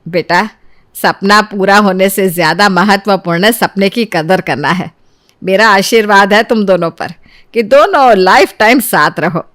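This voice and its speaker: A woman performs a middle-aged mother-in-law from a cartoon bedtime tale. She is doting and kindly, speaking at an ordinary female pitch. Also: measured pace, fairly bright tone, natural voice. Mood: tender, blessing. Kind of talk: animated story dialogue in Hindi.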